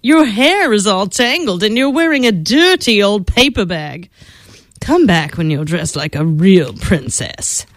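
A woman's voice: mid-range voice, expressive, measured pace, no room sound, close to an everyday voice. A woman voicing a vain, snooty young prince in a storybook reading, prissy and disdainful.

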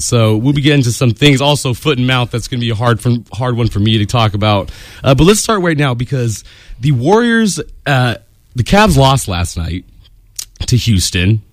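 Kinda raspy dude hosting sports radio, rambly and upbeat, dry booth sound. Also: male voice, mid-range voice, animated, normal speed, no echo. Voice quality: slightly raspy. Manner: rambling, filler-heavy, upbeat. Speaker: radio show host. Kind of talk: casual sports radio chat. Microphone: studio mic.

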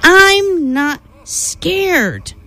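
A woman voicing a small, stubborn baby mummy boy in a children's storybook reading, with a high, pleading, childlike voice. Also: very animated, very slow, bright, forceful tone, soft background music, heavily acted. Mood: defiant.

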